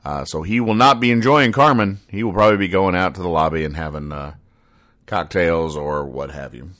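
Deadpan man with a very low voice. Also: fairly quick. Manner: deadpan, pedantic, over-explaining. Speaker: podcast host. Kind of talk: deadpan comedy explainer commentary.

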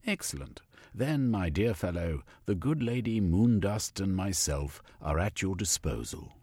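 Man doing a pompous Victorian gentleman explorer. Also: sits low, lively intonation, unhurried, breathy edge, no echo, studio quality, voice pushed up, heavily acted. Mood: bluff. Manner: jaunty, upper-class bluster.

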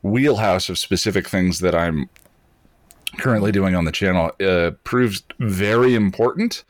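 A man's voice: deep voice, measured pace, no room sound. A man hosting a casual podcast conversation in a self-deprecating, hesitant manner. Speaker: podcast and channel host.

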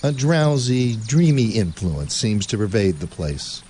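A male narrator tells a spooky old tale in measured, expressive storytelling. His voice runs slightly low, and his pitch rises and falls a great deal. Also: a little hiss or hum. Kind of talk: storytelling narration in a radio drama.

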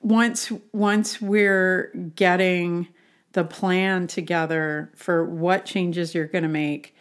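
Woman, lower-pitched voice, conversational coaching, explanatory, rhetorical questions and examples. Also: fairly even intonation, slow pace. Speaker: health and wellness coach.

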